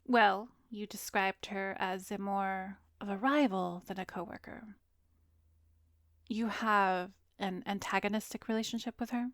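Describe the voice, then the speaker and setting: Actress as soothing female therapist for ASMR roleplay: mid-range voice, soft and measured, drifting into whispers, very dry close-mic sound. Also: medium pitch, fairly even intonation, dry recording, natural voice. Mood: probing, insinuating. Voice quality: soft, often slipping into breathy whisper. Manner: measured, gentle, professional, probing. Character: composed, soothing female therapist, secretly an arrogant vampire. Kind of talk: ASMR roleplay, addressed to the listener. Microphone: close studio microphone, dry.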